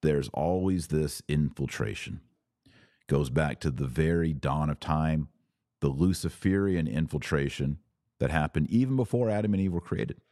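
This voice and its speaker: A man's voice: extremely low pitch, lively intonation, normal speed, warm tone, dry recording, studio quality. A man hosting a live religious commentary show, speaking earnestly and emphatically and repeating phrases for stress. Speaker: podcast host answering live viewer comments.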